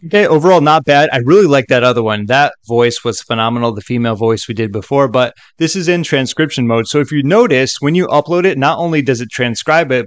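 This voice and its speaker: A man narrating a software tutorial in a casual, conversational way with chatty asides. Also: medium pitch, no echo.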